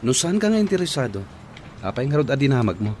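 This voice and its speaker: A man's voice: mid-range voice, sing-song, fast talker, a little mellow, a little hiss or hum, close to an everyday voice. An actor with an Ilocano accent playing a cheeky, argumentative young man in a radio drama.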